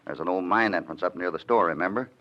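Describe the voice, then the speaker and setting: An actor playing a calm, authoritative cowboy hero in a 1940s radio western. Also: male voice, sits low, quick pace. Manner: steady, authoritative. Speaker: lead hero. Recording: dull old radio recording.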